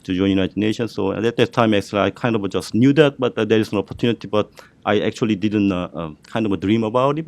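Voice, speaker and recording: A middle-aged man with a Korean accent speaks on a panel, his voice sitting a little low. He talks haltingly, with many fillers and restarts. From South Korea. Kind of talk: panel discussion storytelling.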